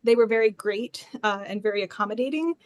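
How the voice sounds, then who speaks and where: A woman speaks as a presenter on an online panel in an informal, conversational style. Her voice sits at a middle pitch. The sound is close and dry, with very little room on it. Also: brisk, no echo, dull audio.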